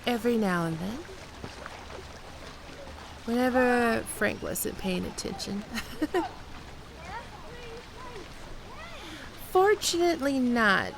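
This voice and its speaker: A woman's voice: mid-range voice, vocal fry, noisy background. A woman playing a flirtatious, playful holidaymaker in an ASMR roleplay, talking in a coy, teasing, conversational way. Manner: coy, teasing, giggly, unhurried with pauses.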